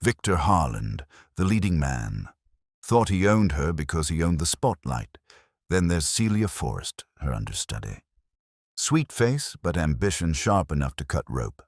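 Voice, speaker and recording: Man as sour stage manager, forties: deep, curt, suspicious, animated, for mystery audiobook. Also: a little slow, dry recording, studio quality, heavily acted. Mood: bitter, nervous.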